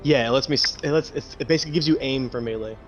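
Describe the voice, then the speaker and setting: A man's voice: medium pitch, racing. A man talking casually as a player in a tabletop roleplaying game, stating his moves. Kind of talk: casual in-game play talk. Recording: dull lo-fi mic, music behind.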